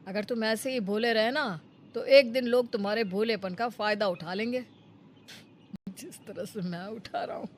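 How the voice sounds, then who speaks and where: Female actor as greedy male schemer, cartoon villager, coaxing, wheedling, medium pitch. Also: normal speed, a little hiss or hum, close to an everyday voice. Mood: sly. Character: cunning, lazy, greedy man, a scheming village friend. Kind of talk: Hindi moral-story cartoon acting.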